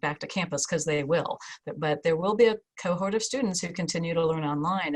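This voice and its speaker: A woman facilitating a video call roundtable, talking conversationally with frequent "you know" asides. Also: sits low. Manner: conversational, uses you know and right often. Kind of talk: video call panel moderation.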